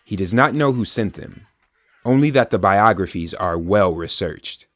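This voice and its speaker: A man narrating an audio drama as a grave storyteller, with deliberate, dramatic scripted delivery. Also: lowish voice, lively intonation, a bit bright. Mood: neutral, scene-setting. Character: grave, omniscient male storyteller.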